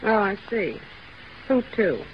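A man's voice: high voice, very animated, slow pace, slight background noise, exaggerated voice. A man acting in a 1930s radio drama as a nosy, dismissive showbiz crony, slangy and pressing. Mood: prying. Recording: echoey, muffled 1930s radio broadcast.

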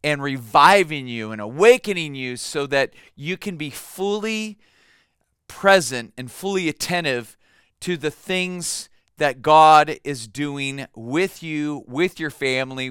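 A man's voice: fairly high pitch, expressive, measured pace, a bit bright, clear, resonant tone, dry recording, studio quality. A man, a pastor, preaching a sermon in a conversational way, telling a family story with fillers and repeated words.

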